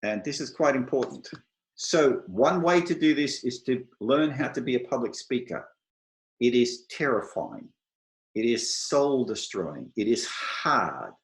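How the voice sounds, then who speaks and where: A middle-aged Australian man giving an advice talk to an online meetup, speaking slowly and clearly with dry humour. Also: medium pitch, roomy sound, rough recording. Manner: slow, deliberate, clear delivery for non-native listeners.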